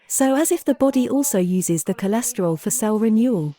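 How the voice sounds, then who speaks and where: Mellow-voiced woman interviewer, inquisitive. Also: on the high side, expressive, a little fast. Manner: inquisitive, engaged. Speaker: interviewer, host. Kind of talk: conversational health interview.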